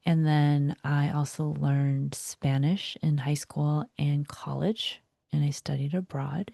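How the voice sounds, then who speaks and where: A young woman whose first language is Vietnamese, giving a reflective guided talk in a soft voice that often slips into a breathy whisper. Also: low-pitched, dry recording, studio quality. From United States. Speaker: vlogger.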